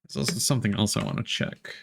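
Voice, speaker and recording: A man's voice: fairly low pitch, fast, creaky, a bit bright, breathy edge. A man chatting casually as he streams a video game. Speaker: livestreamer playing a video game. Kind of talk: casual livestream chat over gameplay.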